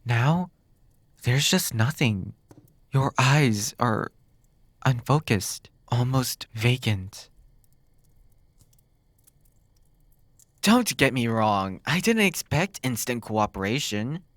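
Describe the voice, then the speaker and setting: A man's voice: medium pitch, expressive, fairly bright tone, no room sound. Teasing demon captor in intimate, dry ASMR, acted by a man. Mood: musing, probing. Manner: teasing, drawn-out phrasing with musing pauses. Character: flirty, teasing male demon captor, smug then exasperated. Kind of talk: ASMR roleplay monologue.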